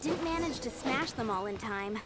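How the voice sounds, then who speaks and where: Woman as eager, high-voiced young knight trainee. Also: lively intonation, normal speed, very noisy, natural voice. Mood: frustrated, determined. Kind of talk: video game voice acting. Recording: loud game music behind.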